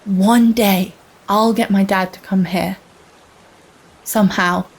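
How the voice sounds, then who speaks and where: A young woman playing an earnest, wistful girl explorer in a radio play, narrating in a measured, confiding, dramatic way. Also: mid-range voice, fairly even intonation, breathy edge, rough recording. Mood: wistful, determined. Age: young adult. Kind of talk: scripted first-person radio play monologue.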